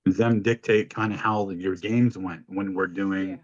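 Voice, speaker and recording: A man with a deep voice co-hosting a podcast, telling stories in an informal, casual way and swearing freely. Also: fairly even intonation, a little slow, dry recording, muffled recording. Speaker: podcast co-host. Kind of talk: casual chat, storytelling.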